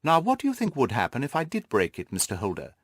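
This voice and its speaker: A man acts out a keen detective for an audiobook. His speech is lively and swooping in pitch, precise and courteous, full of self-assurance, and the sound is close and dry. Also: fast, breathy edge, no room sound. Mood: brisk, confident. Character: brisk, confident male detective, keen and courteous. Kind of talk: audiobook character dialogue.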